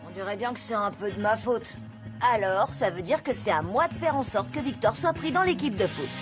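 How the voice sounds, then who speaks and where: A woman's voice: mid-range voice, lively intonation, brisk, music in the background, lightly acted. A woman voicing a cocky, scheming schoolboy in a cartoon, confident and persuasive. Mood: confident, plotting. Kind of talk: French animated cartoon acting.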